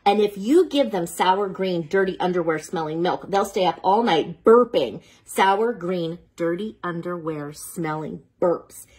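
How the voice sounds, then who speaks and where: A woman reading picture books aloud to young children, playful and dramatic, pausing for chatty asides and questions to the listener. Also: lowish voice, a little mellow, breathy edge.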